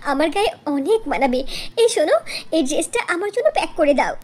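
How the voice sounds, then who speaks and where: A woman's voice: high-pitched, big pitch swings. A woman voicing a gushing, bossy young city shopper in a cartoon, exclaiming and giving orders. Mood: delighted. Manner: exclaiming, quick to command.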